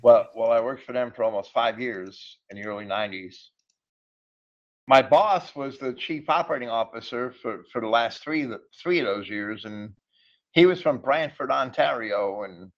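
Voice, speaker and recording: A man's voice: mid-range voice, expressive, normal speed, muffled recording. Middle-aged New England man, rambling. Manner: rambling, repeats words. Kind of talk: casual group chat.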